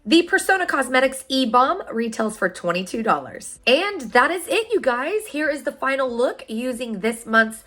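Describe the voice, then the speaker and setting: A woman in her thirties, a beauty vlogger, sounding hoarse and stuffed up from a sinus infection while chatting upbeat straight to her viewers about products. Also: high-pitched, sing-song, moderate pace, few pauses, fairly bright tone, faint music behind. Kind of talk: product unboxing review.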